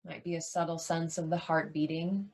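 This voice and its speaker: A woman leading a guided yoga nidra meditation as a teacher, soothing and unhurried, leaving long pauses between short phrases. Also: low-pitched, steady tone, a little mellow.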